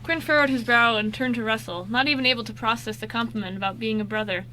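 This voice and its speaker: Bright high-voiced woman narrator. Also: steady tone, quick pace, a little hiss or hum, rough recording. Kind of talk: amateur scripted radio drama narration.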